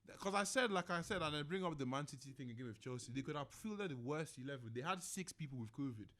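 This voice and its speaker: A man chatting as a podcast guest, bantering quickly in slang, with a London accent and Nigerian touches. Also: a little high, animated, fairly bright tone. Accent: London English with Nigerian touches. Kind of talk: casual chat.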